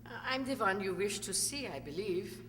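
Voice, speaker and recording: A woman's voice: mid-range voice, lively intonation, a bit bright, faint music behind, close to an everyday voice. Woman acting a haughty femme fatale, swooping voice. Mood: guarded, polite. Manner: theatrical, haughty, deliberate. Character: cold, bitter Viennese ex-actress, scornful and secretive. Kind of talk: radio drama acting. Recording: echoey hall, noisy hum.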